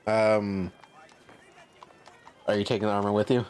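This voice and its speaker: A man speaking casually and haltingly as game master, improvising narration for a tabletop role-playing game. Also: lowish voice, flat delivery, darkish tone, a little hiss or hum.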